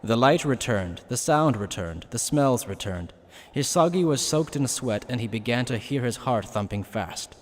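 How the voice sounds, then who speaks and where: A man's voice: mid-range voice, lively intonation, moderate pace, a little hiss or hum. Male story narrator in long reverb. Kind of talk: dramatic scripted story narration.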